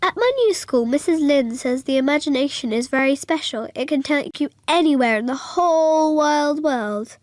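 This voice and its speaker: Woman acting an earnest little schoolgirl, high-voiced. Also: normal speed, hardly any pauses, no echo, natural voice. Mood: earnest, dreamy. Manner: naive, literal, childlike phrasing. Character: young schoolgirl, earnest, imaginative, innocent. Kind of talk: radio drama narration.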